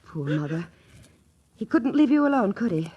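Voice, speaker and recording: Actress as a bitter daughter, wildly swinging pitch. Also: female voice, big pitch swings, normal speed, dull audio, lightly acted. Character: bitter, dramatic daughter, young woman. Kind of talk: 1940s radio acting. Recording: faintly noisy old radio recording, clicks.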